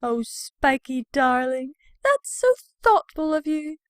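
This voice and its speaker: A woman's voice: high-pitched, big pitch swings, slow pace, no room sound, clean studio sound, heavily acted. A woman voicing a refined, dramatic, affectionate female pony with a genteel, theatrical manner in a cartoon audio drama. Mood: doting. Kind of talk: animated fan audio drama.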